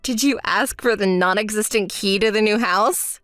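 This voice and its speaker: A woman voicing a calm, fed-up young woman who answers in a level, dry, scolding way in a dramatized story. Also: medium pitch, moderate pace, no echo, faint music behind, voice pushed down, lightly acted.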